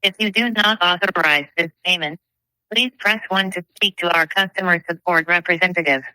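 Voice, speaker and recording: A woman's voice: on the low side, moderate pace, pushed and bright, no room sound, poor audio. A woman's voice giving a formal, impersonal scripted automated phone message. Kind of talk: scripted recorded announcement.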